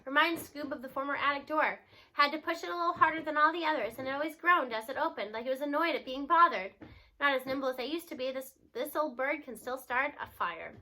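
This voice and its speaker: A woman giving an expressive read-aloud of a children's novel. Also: high voice, quick pace, low-quality recording.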